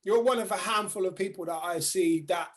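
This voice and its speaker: A man's voice: high-pitched, brisk. A man from the UK, a DJ co-hosting a podcast, asking rambling, jokey questions. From United Kingdom. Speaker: podcast co-host, DJ. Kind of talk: casual chat.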